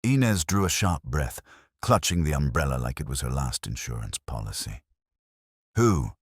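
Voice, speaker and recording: A man with a very deep voice narrates a detective story in the first person. His delivery is wry and hardboiled, with wide swings in pitch, and the recording is dry and close. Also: lively intonation, moderate pace, breathy edge, no echo. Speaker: audiobook narrator.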